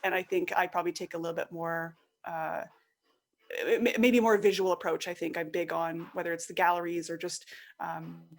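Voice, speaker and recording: A woman's voice: on the low side, fairly even intonation, moderate pace. A woman in her thirties, a podcast guest talking casually and enthusiastically, often laughing and acting out quoted remarks. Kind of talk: casual conversational interview.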